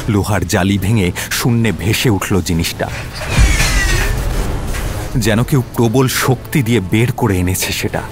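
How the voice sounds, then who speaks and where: A man narrating a suspense story in a dramatic, scripted style. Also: fairly low pitch. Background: music.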